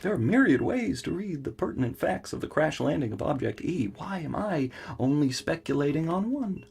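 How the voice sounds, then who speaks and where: An actor playing an earnest, melancholy scientist who speaks haltingly and formally in a science fiction audio drama. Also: male voice, fairly high pitch, big pitch swings, vocal fry, darkish tone. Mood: earnest, confessional. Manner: halting, deliberate, formal wording. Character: male scientist, earnest, melancholy, cerebral, given to theorizing.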